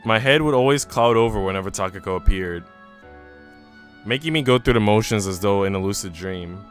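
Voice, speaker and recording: A man with a fairly low voice reads a visual novel aloud on a live stream. He is informal and chatty, slipping into slangy remarks to his viewers. Music plays faintly behind him. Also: a little fast. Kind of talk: live stream read-aloud with casual asides to chat.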